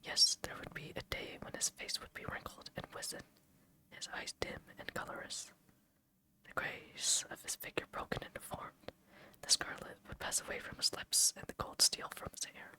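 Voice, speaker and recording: A reader narrating a classic novel entirely in a soft, steady whisper. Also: normal speed, poor audio.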